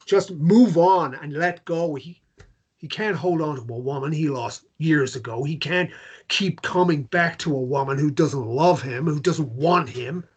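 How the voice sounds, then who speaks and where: Man acting a husky, blunt, protective man. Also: a little high. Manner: blunt, probing, stammering repetitions. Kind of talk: naturalistic drama table read.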